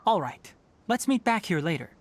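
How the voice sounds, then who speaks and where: Measured, earnest young forest ranger. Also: male voice, high voice, very animated, heavy background noise. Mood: calm, instructing. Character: thoughtful, principled young forest ranger and former scholar. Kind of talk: video game dialogue acting.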